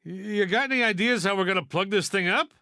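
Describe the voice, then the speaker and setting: A man's voice: high voice, quick pace, sharp tone, clean tone, no room sound, studio quality. A man voicing a wisecracking toy piggy bank in a video game, a brash know-it-all with a sardonic, folksy delivery. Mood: wry, casual. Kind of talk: video game character voice lines.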